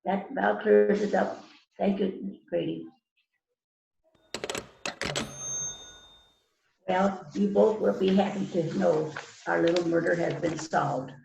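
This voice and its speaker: A woman's voice: fairly low pitch, fairly even intonation, creaky, dark tone. A woman playing a stern police detective in an amateur mystery reading, stumbling and repeating words as she reads. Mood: musing. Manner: halting read-aloud delivery with stumbles and repeats. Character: stern female police detective questioning suspects. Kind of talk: amateur readers theater mystery. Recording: echoey, muffled, noisy online call.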